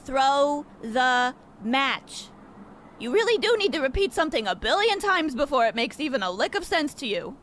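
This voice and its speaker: A woman acts out a greedy schemer of a girlfriend in an extremely high, swooping voice. She is melodramatic and condescending, in a comic radio play with faint noise behind. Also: sing-song, moderate pace, fairly bright tone. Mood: exasperated. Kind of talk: comic old-time radio play acting.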